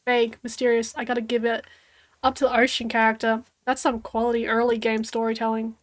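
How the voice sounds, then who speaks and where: Hoarse, giggly Australian woman streamer. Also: fairly high pitch, completely flat delivery, a little fast. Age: 20s. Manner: giggly, chatty reactions. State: hoarse, losing her voice from screaming. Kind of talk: casual gaming commentary.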